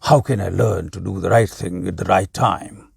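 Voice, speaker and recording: Man reading as an earnest, gravelly king. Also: sits low, normal speed, no room sound, studio quality, pitched below the performer's own voice, heavily acted. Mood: earnest, solemn. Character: earnest, courteous king seeking wisdom.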